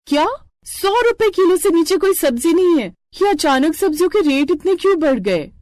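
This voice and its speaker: A woman's voice: extremely high pitch, sing-song, normal speed, darkish tone, no room sound, pitched above the performer's own voice. A woman voicing a cheerful, modest young daughter-in-law, earnest and polite, in a cartoon moral story. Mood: shocked.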